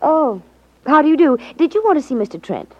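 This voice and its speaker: An actress playing a teasing, arch detective's assistant in a 1950s radio crime drama. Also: female voice, high voice, sing-song, a little fast. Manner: arch, dry needling. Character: pert, teasing detective's secretary. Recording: dull 1950s radio recording.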